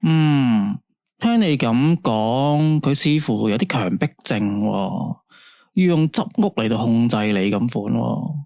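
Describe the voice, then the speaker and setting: An actor playing a late-night radio phone-in host, speaking Cantonese with a Hong Kong accent in a soothing, drawn-out counselling tone. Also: male voice, mid-range voice, lively intonation, creaky, warm tone, dry recording. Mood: calm. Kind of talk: radio drama acting.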